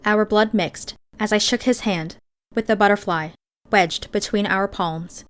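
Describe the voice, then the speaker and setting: A woman's voice: medium pitch, unhurried, clear, resonant tone. A woman reading scripted first-person fiction as an audiobook narrator.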